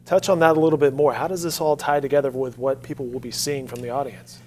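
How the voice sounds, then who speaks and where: A man's voice: mid-range voice, steady tone, fast, darkish tone. A man hosting an interview in a conversational broadcast style, prompting guests with questions. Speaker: interview host. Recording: faint background noise with hum.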